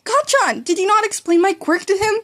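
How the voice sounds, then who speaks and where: High-voiced, breezy teenage superhero boy played by a woman. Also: animated, fairly quick. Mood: teasing. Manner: breezy, explanatory. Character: cheerful, teasing teenage superhero boy with deadly quirk.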